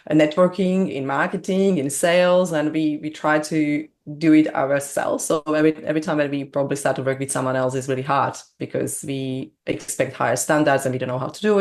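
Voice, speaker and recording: A woman from Slovakia with a Slovak accent, speaking English as a second language as a guest on an interview, bubbly and quick to laugh. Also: extremely low pitch, darkish tone. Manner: bubbly, laughs often, non-native grammar. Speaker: business coach, guest. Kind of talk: casual interview chat.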